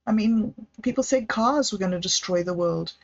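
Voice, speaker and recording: Lady rambling on her livestream, gushy and all over the place, middle pitch, kinda muffled audio. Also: normal speed. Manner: effusive, rambling, self-interrupting. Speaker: livestream host. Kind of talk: rambling solo livestream chat.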